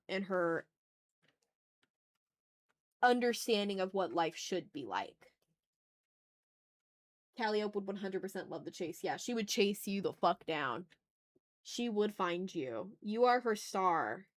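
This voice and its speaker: A woman hosts a casual art livestream in a mid-pitched voice. She talks informally to her chat while she draws. Her speech often turns into thinking aloud and muttering to herself.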